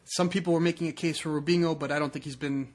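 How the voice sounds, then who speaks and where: A man's voice: fairly high pitch, fairly even intonation, fast talker. A man co-hosting a sports podcast, talking soccer casually and often repeating and rephrasing himself as he explains.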